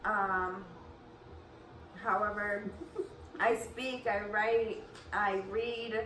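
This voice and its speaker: A woman's voice: medium pitch, fairly even intonation, very slow, bright, forceful tone. A young woman from New York with a New York accent touched by Colombian Spanish, chatting loosely with fillers and restarts as a family vlog mother. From New York, USA. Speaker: vlogging mother. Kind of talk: casual family Q&A. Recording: roomy, distant, noisy home recording.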